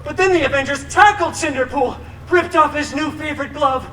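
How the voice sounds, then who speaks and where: A man's voice: extremely high pitch, a little fast, fairly bright tone, a little hiss or hum. A man playing a flippant, theatrical antihero who tells a comic fairy tale to a live audience with campy asides. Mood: smug, joking. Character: cheeky, theatrical male antihero telling his own fairy tale. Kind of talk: live comic storytelling show. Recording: echoey theme park PA, distant, music behind.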